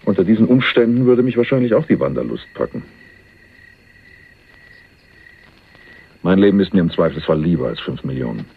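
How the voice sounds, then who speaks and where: An actor playing a laid-back, sceptical drifter and jailbird in a crime radio play, gravelly and husky, speaking with teasing, sardonic understatement. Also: male voice, low-pitched, sing-song, fast, heavily acted. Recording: muffled phone-like narrowband radio recording.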